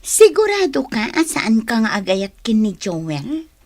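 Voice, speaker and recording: Inquisitive young friend for radio drama: expressive, swooping intonation, dry close sound. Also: female voice, on the high side, unhurried, no echo. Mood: curious, probing. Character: inquisitive, concerned young woman, loyal friend.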